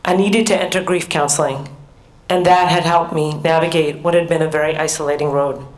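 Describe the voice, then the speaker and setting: A middle-aged American woman giving an earnest, measured personal talk as a conference speaker, with small restarts. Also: low voice, fairly even intonation. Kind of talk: personal talk at a research summit. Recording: echoey hall.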